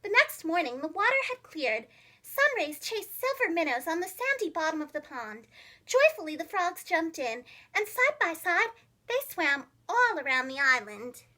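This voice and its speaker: Lady reading storytime to little kids, really high bright voice, super expressive. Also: normal speed. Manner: expressive, simple phrasing for young children. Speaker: preschool teacher and storyteller. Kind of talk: children's storybook narration.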